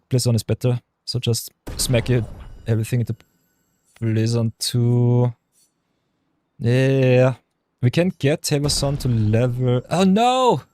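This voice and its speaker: A man with a deep voice streaming a game, chatting casually with his viewers and musing over his choices as he plays. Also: a little mellow. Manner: chatty, talks to chat viewers, hums and mutters while thinking. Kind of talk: casual live-stream chat over gameplay.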